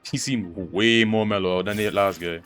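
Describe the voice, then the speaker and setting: A man's voice: lowish voice, moderate pace, a bit bright, clear, resonant tone. A man giving casual, slangy playthrough commentary, joking and talking back to the screen. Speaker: gaming streamer. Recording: game music behind the voice.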